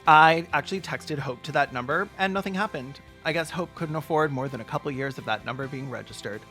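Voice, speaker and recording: A video essay host doing sarcastic comedic commentary with mock-serious, deadpan jokes. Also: mid-range voice, a little fast, faint music behind.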